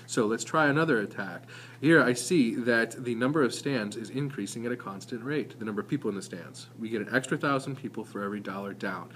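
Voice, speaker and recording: A man's voice: mid-range voice, fairly quick, a bit bright, slight background noise. A man giving an informal, explanatory math lesson as a teacher, talking conversationally with some hesitant self-corrections.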